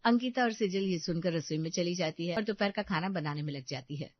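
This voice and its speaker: Low-pitched woman narrating a family story. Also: brisk, dry recording, dull audio.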